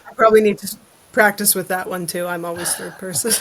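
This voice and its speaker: A woman's voice: fairly low pitch, steady tone, fast. A woman chatting as an author guest in a casual interview, in a thoughtful, slightly hesitant manner.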